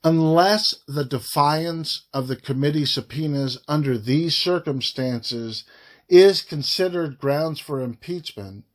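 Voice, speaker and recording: A man reading a formal report aloud in deliberate phrases, with frequent breaks between them. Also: on the high side. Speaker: reader of a government report.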